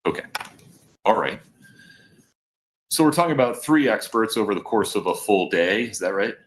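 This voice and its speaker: Deep-voiced judge, measured and deliberate. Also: male voice, expressive, rough recording. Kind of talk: courtroom hearing. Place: courtroom.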